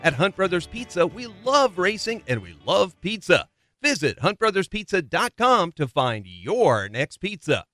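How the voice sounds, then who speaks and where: A man's voice: sits high, big pitch swings, measured pace, bright, forceful tone, no room sound, faint music behind. A man hosting a motorsport radio show, interviewing and reading sponsor plugs in a folksy, playful, wordy way.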